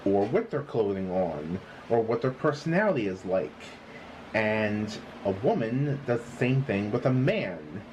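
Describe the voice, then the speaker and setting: A man's voice: lowish voice, sing-song, measured pace, a little mellow, a little hiss or hum, poor audio. A man commentator explaining in a lecturing, matter-of-fact way.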